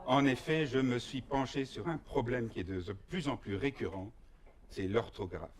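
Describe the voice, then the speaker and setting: A man from Belgium performing a comic stage monologue in a mock-serious, deliberate way, with pauses for effect. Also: mid-range voice, a little hiss or hum. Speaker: stand-up performer.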